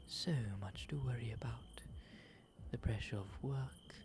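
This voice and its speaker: A man voicing a refined, gentle owl demon gentleman in a soft, breathy ASMR roleplay, speaking with courteous, eloquent phrasing. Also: medium pitch, close to an everyday voice. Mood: calm, reflective. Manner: genteel, eloquent, unhurried phrasing. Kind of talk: ASMR roleplay, intimate character acting.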